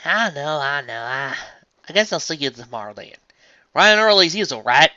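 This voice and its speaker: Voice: medium pitch, sing-song, normal speed, bright, forceful tone, dry recording, lo-fi sound, voice pushed up. A narrator voicing a plain-spoken, stubborn young female farm pony with a folksy way of talking. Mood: sheepish. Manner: folksy, rustic country talk.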